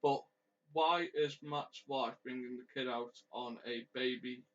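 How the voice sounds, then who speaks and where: A man's voice: medium pitch, fairly even intonation, unhurried, a bit bright. A man co-hosting a casual wrestling chat, asking questions and giggling often. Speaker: podcast co-host. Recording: dull lo-fi audio.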